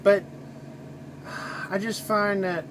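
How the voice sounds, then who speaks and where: A man's voice: sits high, flat delivery, takes it slowly, background noise. A man giving a casual, rambling vlog monologue in a folksy, opinionated, informal way. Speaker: trucker video blogger.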